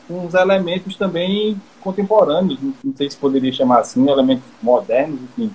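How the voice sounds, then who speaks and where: A man's voice: a little high, little pitch movement, brisk, a little mellow, a little hiss or hum, poor audio. A Brazilian man hosting a podcast, asking long, winding questions in an engaged, conversational manner. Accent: Brazilian Portuguese. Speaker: podcast host. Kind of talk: casual interview talk.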